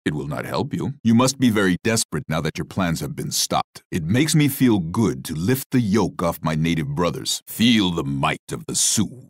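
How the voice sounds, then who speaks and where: An actor playing a proud, stern Sioux war chief in a strategy video game, speaking in a measured, declamatory way. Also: male voice, sits low, dry recording, clean studio sound. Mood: menacing, proud. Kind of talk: scripted strategy game character lines.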